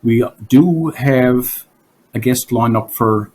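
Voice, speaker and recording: A hoarse man hosting a casual podcast from his basement, rambling through stories and laughing at his own jokes. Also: medium pitch, takes it slowly, darkish tone. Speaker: podcast host. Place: home basement.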